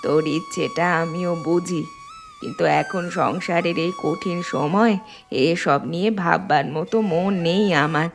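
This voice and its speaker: A woman voicing a practical, soothing middle-aged village mother in a cartoon story, gently bossy. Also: on the low side, wildly swooping pitch, unhurried, music in the background, heavily acted. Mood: gentle, practical.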